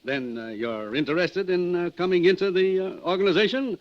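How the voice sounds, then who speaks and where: An actor playing a scheming gang boss in a radio drama, speaking with courteous, ingratiating, halting politeness. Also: male voice, sits high, close to an everyday voice. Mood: coaxing. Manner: ingratiating, halting with deliberate ers. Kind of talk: old-time radio drama acting. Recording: dull vintage radio recording.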